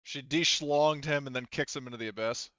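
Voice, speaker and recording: A man narrating a tabletop game as game master, casually and haltingly, with some swearing. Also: medium pitch, bright, forceful tone, no echo, muffled recording. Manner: halting, profane.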